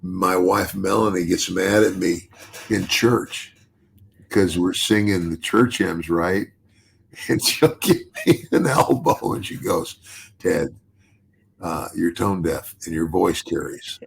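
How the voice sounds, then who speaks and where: Deep gravelly older man. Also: takes it slowly. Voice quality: deep bass voice. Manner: rambling with many restarts and "you know". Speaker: podcast host, retired wrestler. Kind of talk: casual podcast chat.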